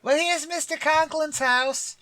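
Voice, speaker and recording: An actor playing an excitable, hapless teenage schoolboy in a radio comedy, eager and naive. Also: high-pitched, a little slow, heavy background noise. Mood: nervous, eager. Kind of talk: old-time radio comedy acting.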